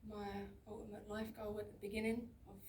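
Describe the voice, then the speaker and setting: A woman's voice: mid-range voice, monotone, a little fast, background noise. A young woman, an actress, answering audience questions on stage in plain-spoken, down-to-earth words. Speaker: lead actress at a film Q&A. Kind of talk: candid personal answers on stage. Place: large cinema auditorium, on stage. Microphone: handheld mic through the hall speakers, filmed from the audience. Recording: echoey hall, heard from far off.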